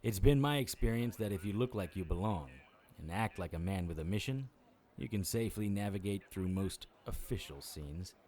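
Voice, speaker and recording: An actor playing a wry, world-weary immortal investigator in middle age, with a dry, dramatic delivery in an audio drama. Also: male voice, lowish voice, lively intonation, normal speed, a little mellow, close to an everyday voice. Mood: tense, matter-of-fact. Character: wry world-weary immortal male investigator who looks about forty. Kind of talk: audio drama dialogue and first-person noir narration.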